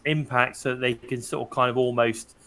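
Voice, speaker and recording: A British man co-hosting a casual wrestling podcast, talking in a chatty way with a slightly croaky, hoarse voice. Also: medium pitch, fairly even intonation, a little fast, sharp tone. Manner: chatty, leads the discussion and hands over to others. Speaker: podcast co-host.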